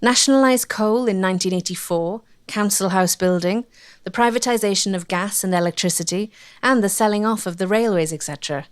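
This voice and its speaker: A woman's voice: mid-range voice, a little fast, clear, resonant tone. A woman reading scripted documentary narration as the narrator.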